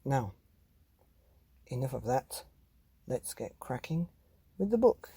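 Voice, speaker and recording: A man hosting a children's bedtime story podcast, speaking directly to young listeners in a measured, deliberate way. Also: mid-range voice, big pitch swings, warm tone, husky, dry recording. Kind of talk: scripted children's podcast narration.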